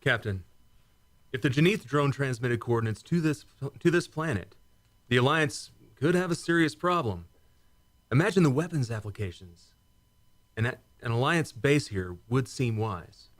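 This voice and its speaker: A man's voice: mid-range voice, animated, normal speed, no room sound, close to an everyday voice. A man playing a serious, dutiful starship commander in a science fiction radio drama, speaking in a grave military manner. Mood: grave, concerned.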